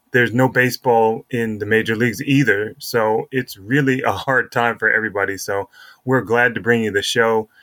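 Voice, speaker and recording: A man's voice: fairly low pitch, fairly bright tone, no echo. A middle-aged man hosting a casual sports podcast, chatting and introducing interviews. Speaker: podcast host.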